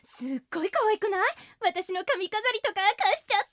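A woman's voice: extremely high pitch, very animated, brisk, a bit bright, a little hiss or hum, exaggerated voice. A woman playing a giddy, pushy teenage princess who gushes in trendy slang in a comedic radio drama. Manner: gushing youth slang. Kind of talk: comedic anime-style radio drama acting.

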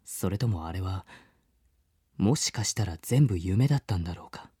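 Wistful narrator with expressive pitch. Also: male voice, moderate pace, slightly rough, dry recording, studio quality, heavily acted. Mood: wistful, subdued. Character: earnest man narrating his boyhood memories, wistful. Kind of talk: scripted first-person radio drama narration.

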